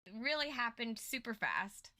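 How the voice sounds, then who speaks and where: A woman with a very bright, forward voice sitting a little high in pitch. She co-hosts a recap show, chiming in with casual commentary. Her manner is playful and joking. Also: very animated, normal speed. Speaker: co-host of a lore recap show.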